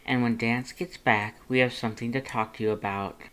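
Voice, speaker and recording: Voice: medium pitch, fairly even intonation, fairly quick, a bit bright, natural voice. A performer voicing an affectionate, flirty male skeleton monster who soothes and coaxes with pet names in a fanfiction audiobook. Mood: playful, gentle. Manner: purring, murmuring, coaxing with endearments.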